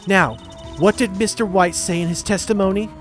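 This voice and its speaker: A man's voice: high voice, fairly even intonation, moderate pace, close to an everyday voice. Guy doing a ghostly lady mentor for a game dub, confident n encouraging, bit of music behind. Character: dead young woman mentor, confident and encouraging spirit. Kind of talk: amateur video game dub.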